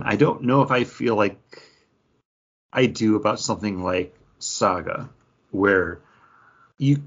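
Gravelly, low-voiced man chatting. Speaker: co-host of a comics review show. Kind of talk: casual chat, comic book review. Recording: dull lo-fi audio.

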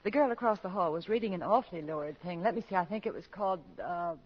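A woman's voice: lowish voice, fast talker, husky. An actress playing a flustered, polite widowed mother who poses as a college freshman, with hesitant, genteel phrasing in 1940s radio style. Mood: chatty, pointed. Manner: hesitant, genteel, full of little exclamations. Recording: muffled, noisy old 1940s radio recording.

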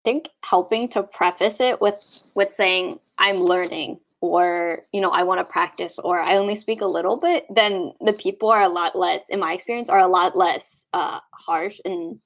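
A woman's voice: on the low side, normal speed. A young woman in casual podcast chat, thoughtful and full of "like" fillers.

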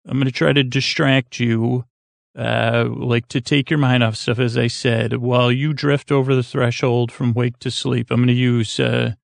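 A man with a creaky voice hosting a bedtime podcast, talking in a calm, gentle, meandering way with halting self-interruptions. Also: medium pitch, no echo. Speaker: podcast host. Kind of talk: rambling, soothing bedtime podcast monologue.

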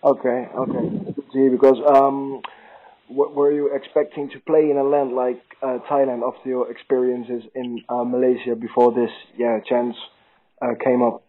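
A man interviewing a guest, asking halting questions with many repeated words and restarts. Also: medium pitch, level tone. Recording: tinny phone-line audio.

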